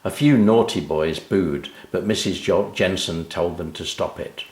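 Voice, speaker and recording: A man's voice: deep voice, unhurried, darkish tone, roomy sound. A man narrating a children's story expressively, speaking directly to young listeners. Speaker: author and narrator.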